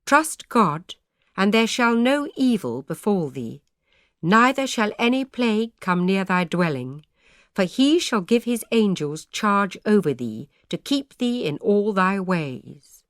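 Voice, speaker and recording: A woman voicing a mild, calm male parson who speaks in measured old-fashioned words. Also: mid-range voice, no room sound, clean studio sound, natural voice.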